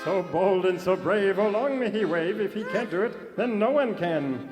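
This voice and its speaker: High-pitched pompous Canadian Mountie, grand declaiming, "eh" tags, comic radio play, hall reverb with music. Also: male voice, lively intonation, moderate pace. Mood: grandly declamatory. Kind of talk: comic radio theater, poem recitation. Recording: live stage hall reverb, music behind.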